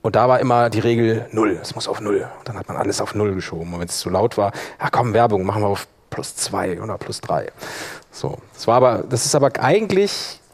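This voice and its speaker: A man presenting a live workshop, explaining software in a colloquial, hands-on way. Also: medium pitch. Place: live workshop in front of attendees.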